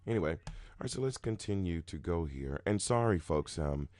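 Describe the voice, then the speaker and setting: A man hosting a roulette strategy video, talking casually in a low, groggy morning voice, rambling with many restarts and sudden jumps in pitch and loudness. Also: animated, moderate pace, dry recording. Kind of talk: casual talking-head explanation.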